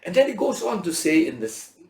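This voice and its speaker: Creaky-voiced man teaching in an echoey room. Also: sits high, sing-song, a little fast, a little mellow. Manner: rhetorical questions and check-in tags; dramatizes imagined speakers' words in everyday language. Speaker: Bible school teacher. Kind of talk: informal Bible lesson, conversational preaching. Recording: roomy, echoing teaching space.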